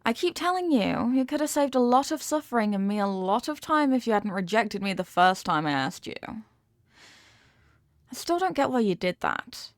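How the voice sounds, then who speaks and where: A woman's voice: on the high side, big pitch swings, moderate pace, no room sound, clean studio sound. A woman acting a possessive, manipulative rich young girlfriend in an intimate audio roleplay, talking down in a coaxing, teasing way with veiled threats. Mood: exasperated, possessive.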